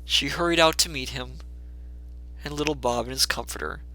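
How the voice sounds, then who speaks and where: A man narrating a classic story as a dramatic reader, measured and expressive. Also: medium pitch, rough recording.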